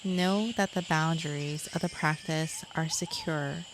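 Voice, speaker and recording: A woman guiding a yoga nidra relaxation in a measured, soothing manner, as a scripted meditation. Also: deep voice. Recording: clear insect and nature noise behind.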